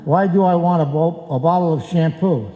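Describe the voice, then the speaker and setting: A man's voice: a little high, normal speed, dull audio, lightly acted. A man playing a grumbling, henpecked, argumentative husband in an old-time radio comedy, performed live. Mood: indignant. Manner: argumentative, exasperated, wisecracking. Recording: echoey live hall, roomy sound.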